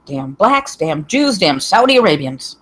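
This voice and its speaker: A woman's voice: low-pitched, big pitch swings, unhurried, full character voice. Woman playing a cranky, bigoted old grandmother. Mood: cranky, indignant. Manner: blunt, opinionated, grumbling. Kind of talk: scripted table read of a comedy screenplay.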